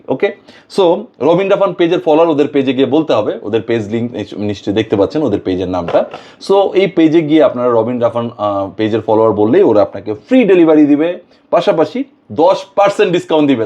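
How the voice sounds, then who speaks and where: A man's voice: medium pitch, a little mellow, highs cut off. A Bangladeshi man hosting a casual product demonstration, mixing English words and phrases into his talk. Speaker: product reviewer and video host.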